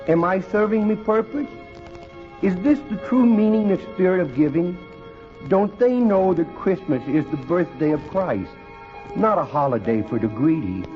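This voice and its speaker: Small confused Christmas tree, earnest, theatrical, very lively intonation, dull vintage radio sound. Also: male voice, fairly high pitch. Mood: troubled, questioning. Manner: childlike, theatrical, questioning delivery. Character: confused young little Christmas tree, earnest, wistful, childlike. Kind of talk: 1950s radio monologue. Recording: dull old radio recording, music behind.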